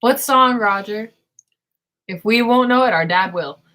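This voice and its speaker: A woman's voice: fairly high pitch, unhurried, a bit bright. A woman chatting playfully with her sister on a livestream, laughing often. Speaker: livestream co-host. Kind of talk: casual livestream chat with a sibling.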